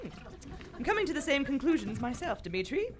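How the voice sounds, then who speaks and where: A woman's voice: sits high, sing-song, quick pace. A woman playing an arch, wry lady adventurer in a melodramatic live radio play. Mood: wry, cool. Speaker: actor in live radio theater. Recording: noisy live stage recording.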